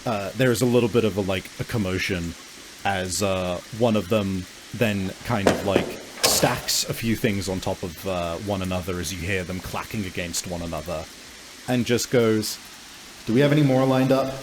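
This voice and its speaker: A man improvising scene descriptions as a game master, halting as he searches for words. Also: low voice, slight background noise, rough recording. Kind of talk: improvised tabletop game narration.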